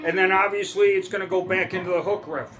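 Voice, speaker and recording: A middle-aged man giving a relaxed, casual guitar lesson, often checking in with "all right?" and "okay?". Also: sits high, fast talker, low-quality recording. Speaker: guitar teacher. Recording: faint guitar playing between phrases.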